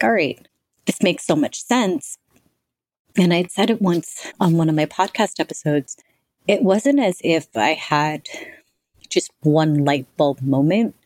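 Low-voiced woman, reflective and articulate. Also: dry recording. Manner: reflective, articulate, self-described monotonous voice. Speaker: guest. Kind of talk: conversational interview answers.

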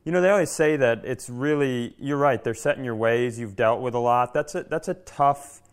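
A man's voice: medium pitch, normal speed. A man co-hosting a podcast, chatting casually and candidly in a rambling way with joking, profane asides. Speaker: podcast co-host. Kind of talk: casual comedic chat.

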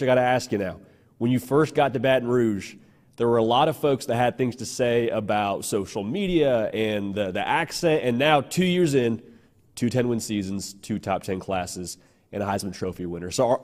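A man's voice: mid-range voice, a little mellow. A man hosting a sports podcast, asking long conversational set-up questions to his guest. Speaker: podcast host, interviewer.

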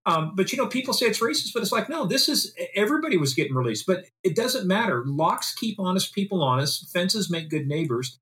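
Wisecracking man, slightly high-pitched. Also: big pitch swings, fairly quick, few pauses, a bit bright. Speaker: podcast host, former detective. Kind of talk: bantering, profane casual talk.

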